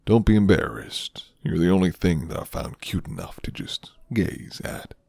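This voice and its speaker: A man playing a flirty, gentle, rugged werewolf who lives alone in the forest, speaking slowly and hushed in a deep, gravelly ASMR roleplay voice. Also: big pitch swings, exaggerated voice. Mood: flirty, teasing. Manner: slow, hushed, teasing.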